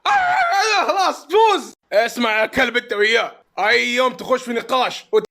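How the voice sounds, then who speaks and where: A man on a loud comic rant, breaking into shouts. His voice is extremely high, bright and piercing. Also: big pitch swings, fairly quick.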